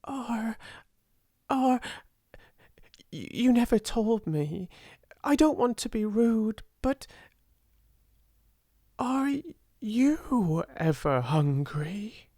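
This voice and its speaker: A woman voicing a timid, slow, kind-hearted young girl who speaks in a halting, awe-struck way. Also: mid-range voice, expressive, a little mellow, no room sound, clean studio sound, pitched above the performer's own voice.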